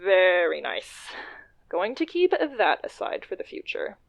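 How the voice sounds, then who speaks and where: A woman acting in a radio play as a self-conscious businesswoman, speaking in a halting, wry, self-interrupting way. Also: on the low side, wildly swooping pitch, gravelly, lo-fi sound, full character voice. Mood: uneasy, musing. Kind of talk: radio drama acting, halting confessional monologue.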